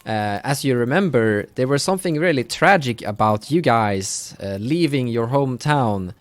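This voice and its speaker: Male game master, mid-pitched voice, conversational narration and questions for a tabletop group. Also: expressive, normal speed, no echo. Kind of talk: running a tabletop roleplaying session.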